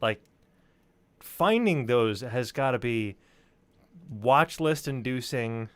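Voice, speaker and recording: A man's voice: mid-range voice, slow, clear, resonant tone. A man hosting a casual interview, dropping in short playful, joking remarks and acknowledgements. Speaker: interviewer, stream host.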